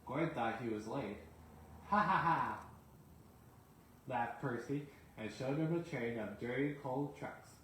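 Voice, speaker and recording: A man's voice: medium pitch, moderate pace, few pauses. A man reading a children's bedtime story aloud as the storyteller. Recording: very echoey room, faint noise.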